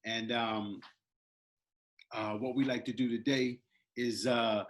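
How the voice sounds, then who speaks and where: A middle-aged man hosting a casual interview, talking haltingly with many fillers. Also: medium pitch, flat delivery. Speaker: interview host.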